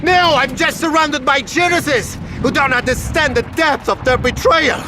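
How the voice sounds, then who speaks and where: An actor playing an unhinged, paranoid scientist in an audio drama, formal, self-righteous and menacing. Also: male voice, very high voice, a little slow, background noise. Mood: furious, ranting. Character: unhinged, paranoid male scientist doctor holding others at gunpoint.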